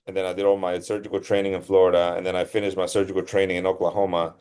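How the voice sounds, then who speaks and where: A middle-aged man from Costa Rica speaks in a fairly low voice. He answers interview questions in a relaxed, rambling way, often correcting himself mid-sentence. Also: racing, clear, resonant tone. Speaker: guest, surgeon. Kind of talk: casual interview storytelling.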